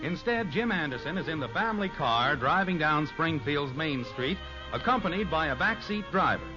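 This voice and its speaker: A man's voice: fairly high pitch, animated, normal speed. An American man announcing and narrating a 1950s radio sitcom from a script. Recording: dull old radio recording. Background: music.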